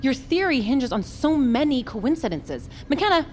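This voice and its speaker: A woman's voice: high-pitched, very animated, normal speed, a little mellow, a little hiss or hum. An actress playing a blunt, incredulous woman who challenges the others in an audio fiction drama. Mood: exasperated. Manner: confrontational, exasperated.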